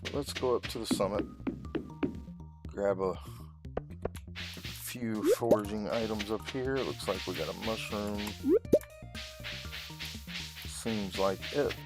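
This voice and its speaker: Man, low pitch, casual let's-play host, unhurried, thinking aloud, prominent game music underneath. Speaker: gaming video host. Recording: loud game music behind voice. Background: video game music.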